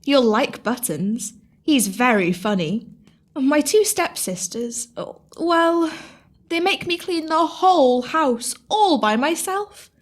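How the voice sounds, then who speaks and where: A woman's voice: high voice, animated, takes it slowly. A woman playing a sweet, wistful, eager downtrodden young girl in an audio pantomime, earnest and wide-eyed. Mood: cheerful, wistful. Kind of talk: pantomime audio drama acting.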